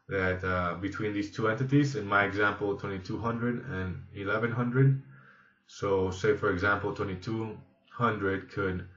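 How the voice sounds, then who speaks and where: Low-voiced man narrating a tutorial, echoey room. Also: pushed and bright. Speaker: software trainer. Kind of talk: instructional screen-demo narration. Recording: echoey room, slightly dull sound.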